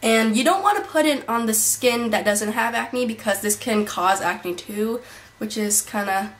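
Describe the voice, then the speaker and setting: A woman's voice: a little high, unhurried. A young woman talking casually in a beauty vlog, chatty and rambling, with lots of fillers and restarts, recorded on a camera mic in a small bedroom. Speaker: beauty vlogger. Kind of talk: casual monthly favorites vlog. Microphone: built-in camera mic at desk distance.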